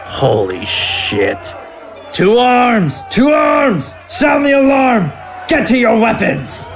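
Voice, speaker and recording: A man playing a shouting, gravelly army captain. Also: very high-pitched, wildly swooping pitch, takes it slowly, faint music behind, a faint crowd, exaggerated voice. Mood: alarmed, barking. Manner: barking orders. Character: gruff, commanding, foul-mouthed military captain. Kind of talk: audio drama acting.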